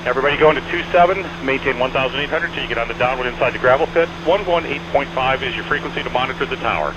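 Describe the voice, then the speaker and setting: A man directs arriving planes over aviation radio at a quick pace. His instructions are clipped and repeated, with courteous touches. The sound is narrow and dull, with clear engine noise behind it. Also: mid-range voice. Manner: clipped, repetitive directives with courteous sign-offs. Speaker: air traffic controller at an airshow arrival. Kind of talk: rapid radio traffic instructions. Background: small airplane engine drone.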